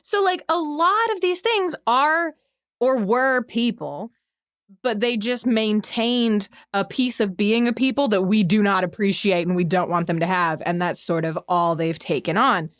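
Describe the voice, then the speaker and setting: A woman's voice: mid-range voice, a little slow, fairly bright tone, no echo, clean studio sound. A woman guesting on a podcast, chatting casually and offering her opinions. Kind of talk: casual chat.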